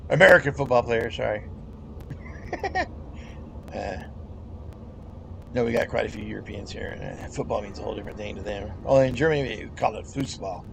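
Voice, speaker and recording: A man's voice: on the low side, moderate pace, gravelly, long pauses, noisy background. A middle-aged man chatting casually over game voice chat, rambling, laughing often and swearing freely. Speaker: gamer in voice chat.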